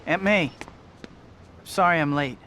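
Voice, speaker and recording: An earnest young hero. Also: male voice, on the high side, slow, slight background noise. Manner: earnest, polite, eager. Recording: video game cutscene with loud music.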